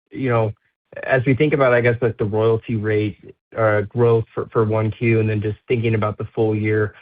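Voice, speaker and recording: A man, a financial analyst, asking a casual, halting question on an earnings call with many fillers. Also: mid-range voice. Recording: phone-line audio.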